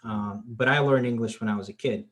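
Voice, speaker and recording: A man's voice: lowish voice, a little fast. A man whose first language is Portuguese, hosting a casual discussion in English and talking with frequent fillers and restarts. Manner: hesitant, many fillers and restarts.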